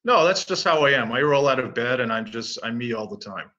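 A man in his forties, from Canada, speaks as a relaxed, self-assured interview guest. His voice is bright and forceful, heard through a dull, lo-fi recording. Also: medium pitch, brisk. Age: 40s. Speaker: guest, martial arts teacher. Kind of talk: casual interview.

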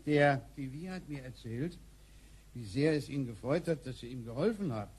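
An actor playing a kindly former scientist from the future in a radio play, explaining things hesitantly. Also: male voice, medium pitch, expressive, normal speed, a little mellow, slightly rough. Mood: polite, friendly.

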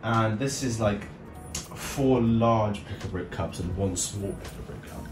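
Echoey, low-voiced man vlogging. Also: measured pace. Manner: informal, thinking aloud. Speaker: YouTube host showing a hobby build. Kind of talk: casual unscripted show-and-tell vlog. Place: indoor room beside the build. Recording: echoey room, distant mic, music behind.

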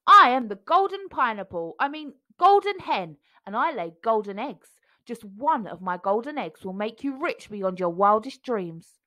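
A woman's voice: a little high, big pitch swings, a little slow, few pauses, pushed and bright, full character voice. A woman voicing a boastful, teasing golden hen in a storybook.

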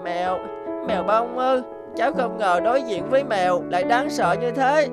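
An actor playing a cocky, eager little boy mouse in an animated cartoon, excitable in manner. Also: mid-range voice, normal speed, lightly acted. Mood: shaken, sorry. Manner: boastful, pleading, excitable. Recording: music behind the voice.

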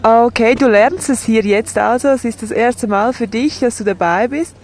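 A woman voicing a sign language sports report and interviews in a measured, steady way. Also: on the high side.

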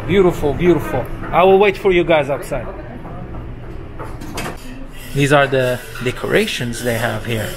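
A man's voice: mid-range voice, unhurried, long pauses, over music. A young man filming a casual vlog in a small restaurant kitchen, upbeat and repeating short words of praise. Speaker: vlogger. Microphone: handheld phone camera.

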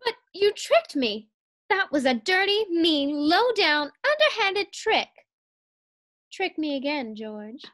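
A woman's voice: very high-pitched, very animated, takes it slowly, a bit bright, full character voice. A woman playing a teasing, coy, scatterbrained young wife in a 1940s-style radio comedy.

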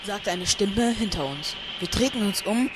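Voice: mid-range voice, expressive, moderate pace, background noise. A narrator reading a scripted comic audio play in a storytelling style. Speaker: narrator and main character.

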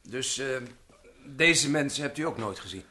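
A man's voice: mid-range voice, expressive, moderate pace, pushed and bright, a little hiss or hum. An actor playing a polite, hesitant village policeman asking around in an old radio drama. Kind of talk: vintage Dutch radio drama acting.

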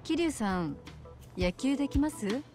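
An actress playing a friendly, straightforward bar owner in a video game, talking casually and directly. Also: female voice, high-pitched, animated, a little slow, mellow, soft background music. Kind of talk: video game cutscene acting.

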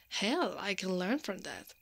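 A woman playing a strong, teasing, protective female knight in an ASMR roleplay, speaking softly and often dropping into a whisper. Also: medium pitch, gravelly, husky, exaggerated voice.

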